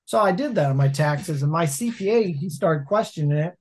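A man's voice: on the high side, fairly quick. Storytelling man on a video call. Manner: storytelling, animated hand gestures. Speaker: laundromat owner and guest. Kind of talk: casual interview chat. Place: home office.

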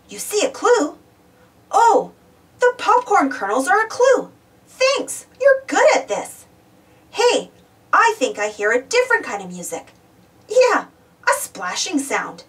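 A woman's voice: very high-pitched, a bit bright, distant mic. A woman reading a children's storybook aloud, exclaiming and putting questions to young listeners. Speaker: storybook reader. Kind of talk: animated children's read-aloud.

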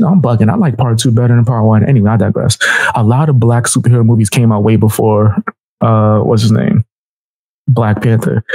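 Mellow-voiced man giving mocking reaction commentary. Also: mid-range voice, vocal fry, husky, no echo, low-quality recording. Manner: mocking, slangy. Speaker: reaction show host.

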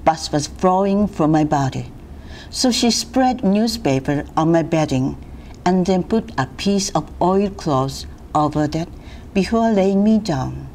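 A middle-aged woman from Japan reads a first-person account aloud in a solemn manner. Her pace is very slow and deliberate, her pitch a little low. Faint room noise sits behind the voice. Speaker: volunteer storyteller. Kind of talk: read-aloud first-person survivor narrative. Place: classroom, indoors. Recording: steady background room noise.

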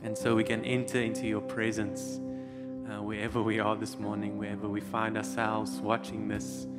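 A man leading a worship service, praying softly and steadily on a small studio stage with a band. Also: fairly low pitch, normal speed. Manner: soft, prayerful, steady. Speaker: worship leader. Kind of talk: spoken prayer over music. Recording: loud guitar music behind. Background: acoustic guitar playing.

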